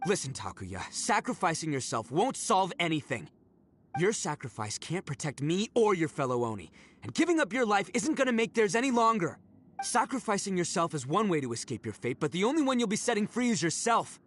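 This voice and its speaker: Brash, animated oni warrior. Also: male voice, high-pitched, brisk, a little hiss or hum. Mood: earnest, rousing. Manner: bold, emphatic, informal tough-guy talk. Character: brash, big-hearted male oni warrior, stubbornly loyal to his kin. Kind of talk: dramatic game voice acting. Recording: background music under the voice.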